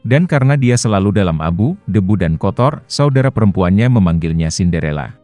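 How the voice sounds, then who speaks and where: Male storyteller, dark soft-edged tone, roomy echo, scripted children's fairy tale narration, faint music underneath. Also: lowish voice, lively intonation, a little fast. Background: faint background music.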